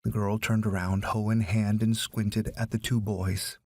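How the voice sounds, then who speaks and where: A man's voice: fairly low pitch, little pitch movement, measured pace, a little mellow, dry recording. A man reading a story as a scripted audiobook narration.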